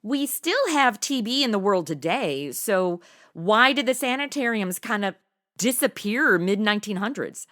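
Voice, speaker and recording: Bright, lively American woman hosting a podcast. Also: mid-range voice, a little slow. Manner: sassy, slangy, playful. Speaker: podcast host. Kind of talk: chatty semi-scripted interview.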